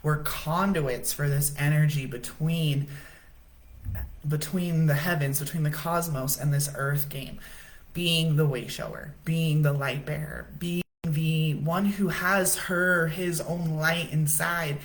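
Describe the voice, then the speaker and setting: A woman host giving a casual spiritual coaching talk on a livestream, chatty and often checking in with "right?", in her own low voice. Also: fairly even intonation, unhurried.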